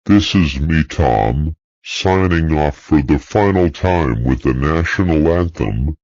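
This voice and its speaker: A man's voice: extremely low pitch, expressive, slow pace, darkish tone, no room sound. A man as an emergency announcer reading a scripted doomsday broadcast in a solemn, synthetic way. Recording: dull lo-fi audio.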